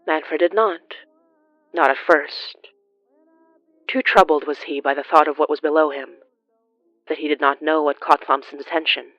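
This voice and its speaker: Horror audio fiction narrator: grave, deliberate, medium pitch, pausing between phrases, on a slightly muffled recording. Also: fairly even intonation, no room sound, soft background music. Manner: grave, measured delivery with deliberate pauses. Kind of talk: scripted dramatic horror narration.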